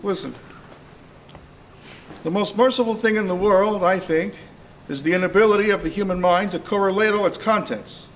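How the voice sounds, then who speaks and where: Guy doing a curious dogged detective, radio play style, voice a bit high, some background noise. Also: moderate pace, pauses often, close to an everyday voice, rough recording. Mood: grave, reading aloud. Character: inquisitive male police detective, dogged and curious. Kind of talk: amateur live radio-play acting.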